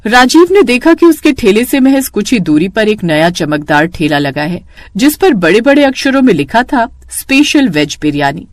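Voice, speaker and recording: A woman's voice: on the high side, very animated, moderate pace, dry recording. A woman narrating a scripted cartoon moral story as a storyteller.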